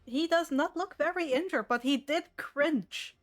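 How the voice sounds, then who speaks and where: A woman's voice: high voice, measured pace, faint music behind. A woman acting as game master, narrating and refereeing a tabletop role-playing game.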